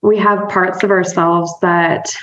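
A woman's voice: fairly low pitch, little pitch movement, slow, fairly bright tone. Chatty woman therapist, roomy dull audio. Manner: upbeat and conversational, laughs often, tags points with "right?". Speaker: therapist and EMDR trainer, podcast guest. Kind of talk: casual explanatory interview talk.

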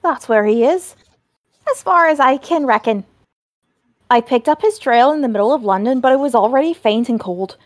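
Woman doing a squeaky cheeky imp. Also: animated, normal speed, no room sound, clean studio sound, lightly acted. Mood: weary. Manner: cheeky, grumbling, wheedling. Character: cheeky, weary little male imp in a bronze disc.

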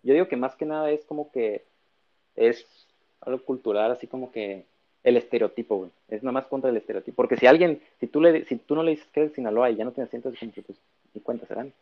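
Man, Tijuana Mexican accent, rapid talk, muffled lo-fi recording, animated casual chat. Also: medium pitch. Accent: Tijuana Mexican Spanish. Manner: animated, quick, acts out quotes. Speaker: podcast co-host. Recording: dull lo-fi sound.

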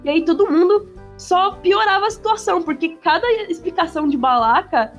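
Paulista woman, high voice, tons of slang n swearing, soft music behind. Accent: São Paulo Portuguese. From São Paulo, Brazil. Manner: slangy and profane, rushes when excited. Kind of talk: casual chat.

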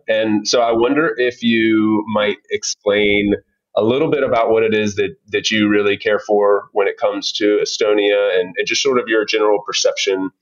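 Enthusiastic male podcast host, lowish voice, slightly dull lo-fi recording. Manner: enthusiastic, with frequent fillers and restarts. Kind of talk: casual interview conversation.

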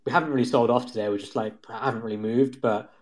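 A man with a medium-pitched voice talks through market analysis casually. He explains step by step in a conversational style, often qualifying what he says and searching for his wording. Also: steady tone, fast, darkish tone. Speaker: market commentator guest.

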